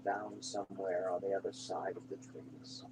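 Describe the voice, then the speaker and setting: A man narrating a guided meditation in an unhurried, reassuring manner, pausing between short phrases. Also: low-pitched, flat delivery. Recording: clear background hum and noise.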